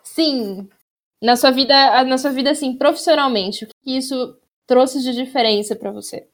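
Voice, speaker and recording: Woman interviewer, high voice, short questions. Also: normal speed. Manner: brief questions and short acknowledgements.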